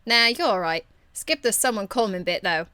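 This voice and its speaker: A woman voicing a cheeky, irreverent young female rogue archer in an amateur game voice demo, blunt, slangy and excitable. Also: mid-range voice, normal speed, no room sound.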